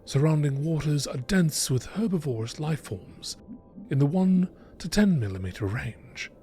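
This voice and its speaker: A man reading scripted nature documentary narration in a measured, informative manner. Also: a little high, lively intonation, warm tone, faint music behind. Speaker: narrator reading game lore entries.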